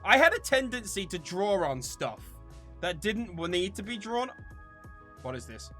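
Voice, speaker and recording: A man's voice: sits high, big pitch swings, measured pace, bright, forceful tone, soft background music. A man of about thirty chatting casually to viewers as a channel host, in a playful, theatrically mock-serious way. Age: around 30.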